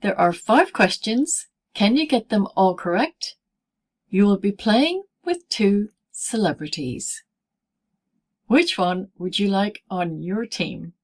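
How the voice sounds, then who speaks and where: A woman presenting a scripted pronunciation lesson as a teacher, speaking in deliberate, clearly segmented phrases. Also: mid-range voice, expressive. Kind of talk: scripted instructional narration in easy English.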